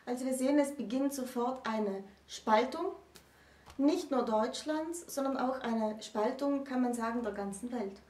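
Woman in her 30s explaining stuff like a host, voice on the high side, roomy room sound. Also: a little slow, darkish tone. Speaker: host presenting a literature discussion. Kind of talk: conversational educational presentation. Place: small book-lined room, indoors. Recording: roomy sound, distant microphone.

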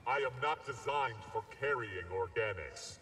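A man voicing a terse, cold killer droid in a video game, measured and commanding. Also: medium pitch, lively intonation, fairly bright tone, a little hiss or hum. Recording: long reverb, faint game ambience.

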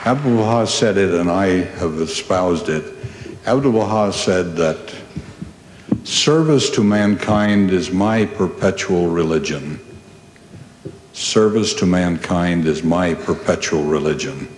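Very slow, deep elderly man, solemn. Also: darkish tone, a faint crowd. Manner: solemn, repeats phrases for weight. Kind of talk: solemn podium speech. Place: large indoor hall at a podium. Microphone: podium microphone. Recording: echoey hall, noisy with audience murmur.

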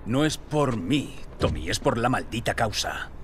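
A man playing a gruff, weary smuggler. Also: mid-range voice, lively intonation, measured pace, background noise, close to an everyday voice. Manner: blunt, pleading then angry. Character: gruff weary middle-aged male smuggler.

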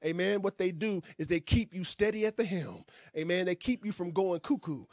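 A man in his fifties leads a Bible class in a fervent, folksy preaching cadence, punctuating nearly every phrase with an amen or hallelujah. His voice sits fairly high for a man. It is recorded dry and close on a stand mic in a small room. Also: normal speed, darkish tone, no room sound. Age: 50s. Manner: emphatic call-and-response preaching with frequent amens; folksy, conversational, gestures with hands. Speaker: pastor. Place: small indoor room with curtain backdrop. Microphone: stand mic in front.

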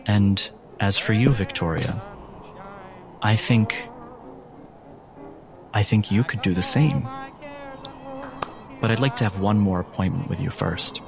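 A man playing a calm, controlling asylum doctor who speaks in a measured, deliberate way with soothing authority. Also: on the low side, creaky, over music.

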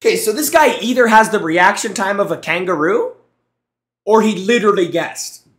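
A man's voice: sits high, expressive, moderate pace, a bit bright, reverberant. A young man streaming on a headset mic in a small room, reacting to gameplay clips with teasing jokes and thanking his chat. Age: young adult. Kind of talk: casual live-stream commentary.

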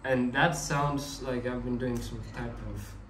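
A man's voice: mid-range voice, steady tone, measured pace, fairly bright tone, far from the mic. A young man around twenty talking through his thoughts in a casual, rambling monologue, often restarting his sentences. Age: young adult. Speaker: vlogger. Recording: echoey room, mic set back, faint ambient noise.

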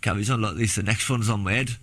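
A young British man, a former soldier, recounting his experiences in a halting, plain-spoken way in a documentary interview. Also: lowish voice, very noisy. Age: young adult. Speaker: former soldier giving testimony. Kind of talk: documentary interview, personal recollection. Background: background music.

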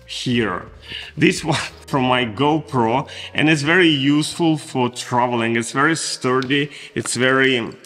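A man's voice: medium pitch, a bit bright, big-room sound. A man in his forties from Estonia, a native Russian speaker with a Russian accent, chatting enthusiastically in a rambling fashion haul vlog, recorded on a chest mic in a large loft room. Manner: enthusiastic, rambling, gushing superlatives. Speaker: fashion vlogger.

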